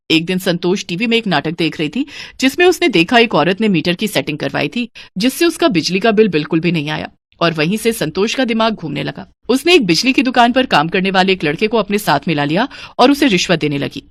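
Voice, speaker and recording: A woman's voice: on the low side, big pitch swings, fairly quick, no echo. Dry, close-sounding woman storyteller. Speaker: narrator. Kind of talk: scripted story narration.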